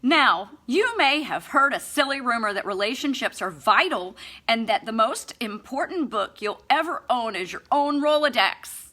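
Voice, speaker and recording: A woman's voice: on the high side, animated, moderate pace, sharp tone. A woman reading a book aloud expressively, with a sarcastic, mock-earnest and theatrical delivery. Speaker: amateur audiobook reader.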